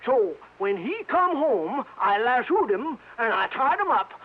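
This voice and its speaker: An actor playing a meddling, teasing old cowhand sidekick in a radio drama, with a cackling, stammering country drawl from the American West. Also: male voice, very high voice, sing-song, measured pace, exaggerated voice. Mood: eager explaining. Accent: rural American West. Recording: muffled, hissy old radio recording.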